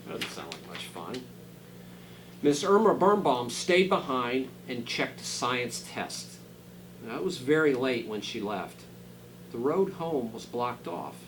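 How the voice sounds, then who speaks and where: A man reading a children's storybook aloud dramatically, dropping into quieter asides to his listeners. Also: mid-range voice, big pitch swings, takes it slowly, noisy background. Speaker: school superintendent reading a picture book aloud.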